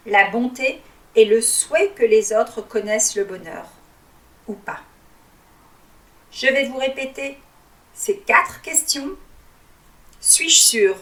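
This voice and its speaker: A woman whose first language is Arabic, speaking as a life coach and guiding listeners through a reflection in a calm, teaching way with frequent hesitations. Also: a little high, roomy sound, far from the mic. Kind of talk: guided reflection talk.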